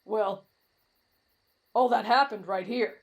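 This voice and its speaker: A woman's voice: medium pitch, takes it slowly, lo-fi sound, voice pushed down. A woman voicing a brash, cocky young man with a morbid streak and a blunt, boastful manner in an anime fan audio roleplay. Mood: eerie storytelling.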